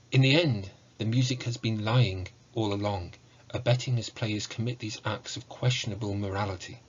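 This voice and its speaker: A man in his forties giving an academic lecture in a measured, formal, scholarly way, recorded at home on a computer mic. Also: lowish voice, husky, lo-fi sound. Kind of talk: academic lecture on video game music. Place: book-lined room at home, indoors.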